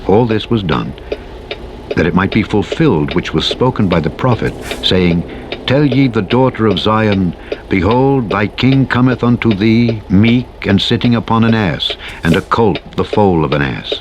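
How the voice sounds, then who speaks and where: Clear vehicle engine noise runs behind a man with a fairly low voice. He reads scripture as a narrator, in a solemn and measured way, with archaic, formal phrasing. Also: animated. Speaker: Bible narrator. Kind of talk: scripture reading. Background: truck engine noise from a driving game.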